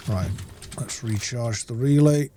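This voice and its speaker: A man's voice: medium pitch, measured pace, mellow, slight background noise. A man giving casual let's-play commentary, talking viewers through each step in an informal, instructional way. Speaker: game streamer and playthrough host.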